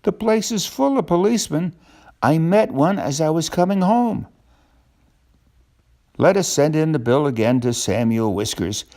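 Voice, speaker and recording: Older man reading as a gravelly, fretful terrier shopkeeper. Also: a little high, expressive, unhurried, pauses often, dark tone, voice pushed up. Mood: worried. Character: anxious, law-fearing male terrier shopkeeper from a storybook.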